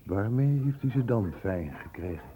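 An actor playing a sharp, precise doctor who questions and explains in a Dutch radio play. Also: male voice, lowish voice, lively intonation, moderate pace, natural voice. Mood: probing, doubtful. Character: sharp, probing male doctor investigating an infection outbreak. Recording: dull, noisy old radio recording.

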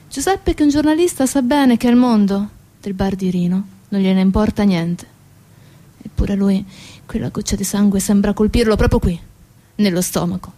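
A woman's voice: medium pitch, warm tone. A woman delivering a dramatic theatrical monologue as a stage storyteller. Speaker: stage actress and narrator.

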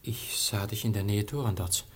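Noble, fatalistic young prince, impassioned and declamatory, a little husky, for classical verse radio drama. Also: male voice, lowish voice, moderate pace. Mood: yearning, wary.

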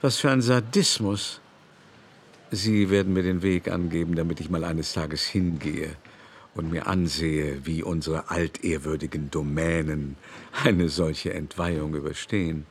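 A man's voice: low voice, fairly even intonation, measured pace, slight background noise. A man playing a haughty, affected baron in a literary radio drama, with a pompous, declamatory manner. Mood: scornful, indignant. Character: haughty aristocratic baron, affected, brusque and venomously bigoted.

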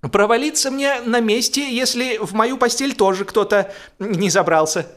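A man's voice: high voice, normal speed, fairly bright tone, pitched above the performer's own voice. A man voicing a tipsy, bewildered young man with a hesitant, plaintive manner in an audiobook comedy. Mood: surprised.

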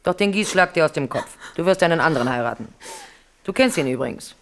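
Bossy mother, low, lively voice. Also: fast talker. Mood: firm. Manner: firm, bossy, dismissive. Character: commanding mother arranging her daughter's marriage. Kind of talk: 1970s radio play acting.

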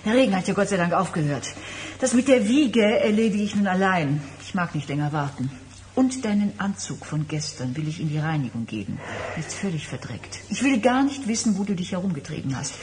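Anxious, hurt wife, played by an actress in radio drama. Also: female voice, on the low side, expressive, brisk, darkish tone, slight background noise, lightly acted. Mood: chatty, mildly reproachful. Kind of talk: German radio drama acting.

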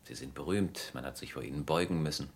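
An actor playing a courtly, cynical gentleman humbled by adoration in a German radio play, formal, measured and confessional. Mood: tender confession. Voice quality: very deep, gravelly.

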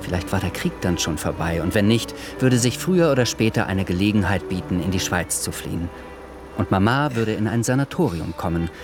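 Low-voiced male narrator over music. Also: expressive, fairly quick. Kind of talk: scripted literary narration in a radio play. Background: music behind the voice.

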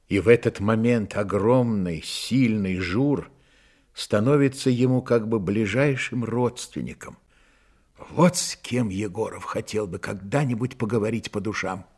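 A man narrating a Soviet radio drama as a sober, measured, reflective storyteller of a detective tale. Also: fairly low pitch, a little mellow, breathy edge.

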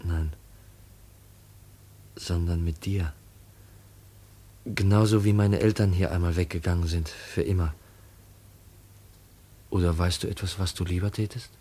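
A brooding, resolute young heir in a fifties German radio drama. His voice is deep and slightly hoarse-edged, his lines gloomy and earnest. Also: fairly even intonation, measured pace, long pauses, warm tone. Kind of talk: 1950s German radio play acting.

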